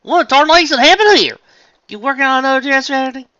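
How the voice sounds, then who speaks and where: A man's voice: a little high, very animated, brisk, pushed and bright, dry recording, dull audio, voice pushed up, heavily acted. A man voicing a plain-spoken, hearty young female farm pony in a story, with a folksy Southern US twang and drawl. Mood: baffled.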